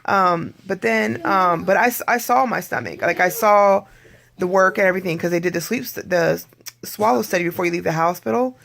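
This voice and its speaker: Hoarse, gravelly-voiced vlogger. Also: medium pitch, a little fast, a bit bright. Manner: rambling, candid, frequent throat clearing. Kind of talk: casual video diary.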